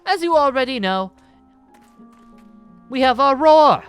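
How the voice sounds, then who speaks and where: A man's voice: extremely high pitch, expressive, slow pace, a bit bright, clear, resonant tone, exaggerated voice. A man playing a boastful, jovial ancient dragon slayer wizard in a theatrical, formal manner for an improvised Minecraft roleplay. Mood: boastful, playful. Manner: theatrical, grandly formal, chuckling. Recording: background music under the voice.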